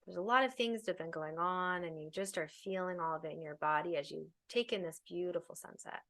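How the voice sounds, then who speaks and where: A woman's voice: lowish voice, fairly bright tone. Woman guiding a meditation. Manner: soothing, unhurried instruction with pauses. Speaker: guided meditation teacher. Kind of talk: guided meditation.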